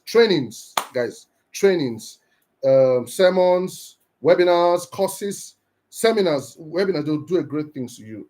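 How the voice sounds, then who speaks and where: A man's voice: medium pitch, darkish tone. A man from Nigeria giving an earnest, encouraging motivational talk on a live stream, often repeating and restarting his words.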